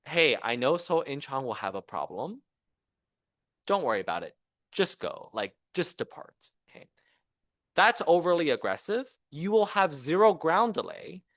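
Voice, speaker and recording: Animated male lecturer, swooping intonation. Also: on the high side, normal speed, dry recording, clean studio sound. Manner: animated, conversational, explains with rhetorical questions and acted-out quotes. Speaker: university professor giving a seminar talk. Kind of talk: engineering lecture. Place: seminar room at a university.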